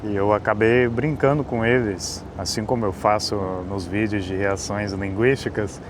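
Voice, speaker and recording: A man's voice: lowish voice, steady tone, normal speed, background noise. A man narrating his travel vlog in a casual, chatty way. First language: Portuguese. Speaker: travel vlogger.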